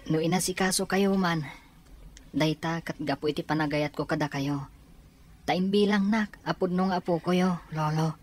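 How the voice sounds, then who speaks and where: A woman's voice: on the low side, moderate pace, a little mellow, natural voice. A woman with an Ilocano accent playing a caring, devoted young student in a radio drama. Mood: grateful, affectionate.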